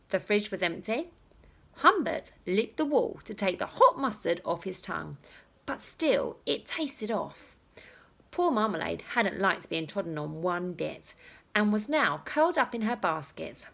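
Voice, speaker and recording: A woman reading a children's story aloud, with dramatic pauses and drawn-out emphasis. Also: medium pitch, very animated, creaky, a bit bright. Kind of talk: animated children's story narration.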